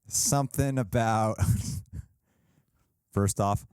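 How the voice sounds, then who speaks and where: A man's voice: fairly low pitch, drawn-out words, darkish tone, clear, resonant tone, no echo, studio quality. A man co-hosting a reaction show, talking casually in a rambling, self-deprecating way with some swearing. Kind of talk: casual reaction commentary and discussion.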